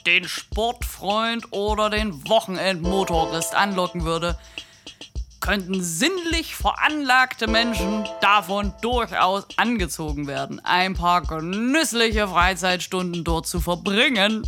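A woman's voice: medium pitch, expressive, slow. A woman narrating a literary radio play from a script. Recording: music bed behind the narration.

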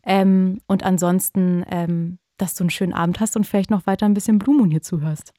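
A woman's voice: fairly low pitch, fairly even intonation, fairly quick, warm tone, slight background noise. A woman hosting a late-night radio call-in show, chatty and friendly, laughing often, on a studio microphone.

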